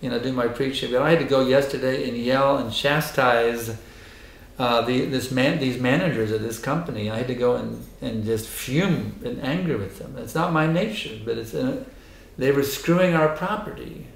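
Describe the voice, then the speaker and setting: A hoarse man speaks as a spiritual teacher in a temple, driving his points home with emphatic repetition. His voice sits in a middle register. The hall around him rings with a roomy echo. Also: medium pitch, moderate pace. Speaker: spiritual teacher giving a lecture. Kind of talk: temple lecture with questions and answers. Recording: echoey hall.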